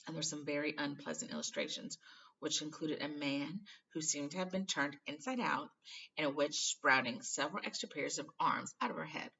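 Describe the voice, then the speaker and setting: A woman reading a children's novel aloud as an expressive storybook narrator. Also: low voice, brisk, highs cut off, slight background noise. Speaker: storybook reader.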